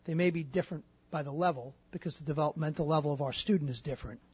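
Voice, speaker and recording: A man speaking as a school district administrator, answering questions at a public meeting in a measured way with frequent fillers. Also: on the high side, husky.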